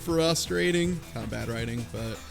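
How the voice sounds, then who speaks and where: A man with a mid-pitched voice full of vocal fry gives relaxed podcast commentary in a conversational style. Music is clearly audible behind him. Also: moderate pace. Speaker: podcast co-host. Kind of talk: casual commentary.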